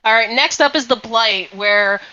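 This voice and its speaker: Bright-voiced woman in a group chat. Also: on the high side, measured pace, no room sound, muffled recording. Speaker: panelist in a group discussion. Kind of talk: casual group discussion.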